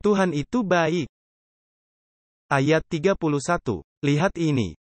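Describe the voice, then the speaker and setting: A man's voice: fairly high pitch, animated, unhurried, no echo. A man preaching a dubbed sermon earnestly, with emphatic rhetorical questions put to his listeners.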